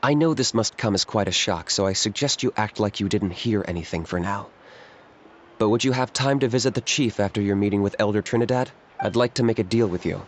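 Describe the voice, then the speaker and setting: An actor voicing a blunt young male warrior in a game, talking in a dry, flat, matter-of-fact way. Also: fairly low pitch, fairly quick, a little hiss or hum, close to an everyday voice. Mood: calm. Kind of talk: game voice acting.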